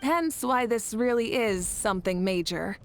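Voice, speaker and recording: An actress playing a calm, self-assured woman leader who reassures others, in a video game. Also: fairly high pitch, unhurried. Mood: composed. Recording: game audio over soft music.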